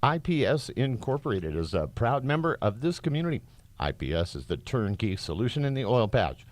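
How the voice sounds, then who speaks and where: A man's voice: medium pitch, very animated, fairly quick. A man reading a scripted company advertisement as a commercial announcer.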